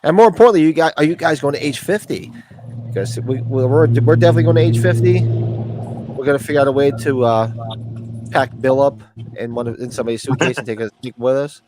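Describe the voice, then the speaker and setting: A man's voice: medium pitch, quick pace, noisy background. A man in his forties with a sniffly voice from allergies, chatting casually, rambling and swearing as he jokes. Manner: profane, rambling, joking. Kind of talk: casual chat.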